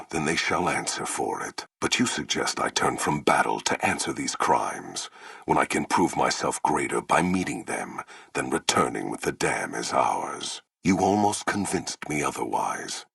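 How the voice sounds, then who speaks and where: An actor playing a towering, brutal warlord in a video game, proud and menacing, with a rasping voice and grandiose, solemn, formal delivery. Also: male voice, very deep voice, fairly bright tone, dry recording, studio quality, full character voice. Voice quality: gravelly, rasping. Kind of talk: theatrical video game villain acting.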